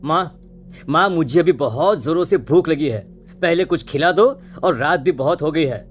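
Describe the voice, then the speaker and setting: A man playing a carefree, headstrong eighteen-year-old son in an animated story drama. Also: a little high, moderate pace, soft background music, close to an everyday voice. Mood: reassuring. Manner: carefree, insistent.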